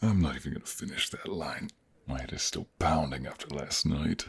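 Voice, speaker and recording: A man playing a proud, boastful Norse thunder god with a gruff, husky voice in a fantasy audio roleplay. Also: extremely low pitch, normal speed, full character voice. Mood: weary, grumbling. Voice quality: gravelly, husky. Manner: boastful, pompous, easily provoked.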